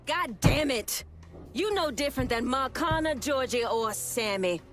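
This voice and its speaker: A fierce gang leader in a crime game cutscene. Her voice is fairly high, bitter and defiant, with music clearly underneath. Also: animated, measured pace, a bit bright. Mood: bitter anger. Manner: accusing, defiant. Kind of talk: video game cutscene acting.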